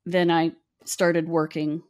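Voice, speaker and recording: A woman's voice: low-pitched, takes it slowly, smooth. A woman, telling stories in casual, chatty conversation. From West Virginia, USA. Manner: chatty, animated, acts out quoted voices. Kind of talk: casual storytelling chat.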